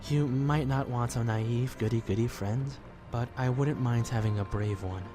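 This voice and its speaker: A man's voice: mid-range voice, normal speed, close to an everyday voice. A young man playing a kind, idealistic youth in an audio drama, speaking earnestly and reassuringly. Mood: warm, reassuring. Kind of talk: fan audio drama acting. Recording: music bed behind the voice.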